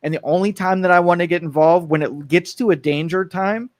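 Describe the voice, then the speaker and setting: Male co-host, talky and animated, slightly higher voice, casual political chat. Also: brisk. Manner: animated, acts out quoted voices. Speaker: talk show co-host.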